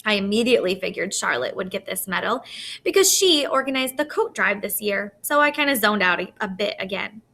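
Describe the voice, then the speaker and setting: A woman reading a children's novel aloud in an expressive storytelling manner. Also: mid-range voice, fairly quick. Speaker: audiobook-style reader.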